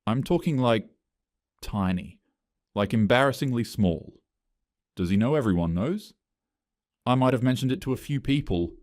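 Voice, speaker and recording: A man's voice: mid-range voice, expressive, normal speed, long pauses, a little mellow, no room sound, clean studio sound, pitched above the performer's own voice, close to an everyday voice. A man voicing a gossiping young office woman with a catty, giggly manner.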